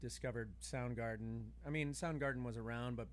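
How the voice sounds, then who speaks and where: A man's voice: mid-range voice, steady tone, a little fast. A man chatting casually as a podcast host in an informal, easygoing way.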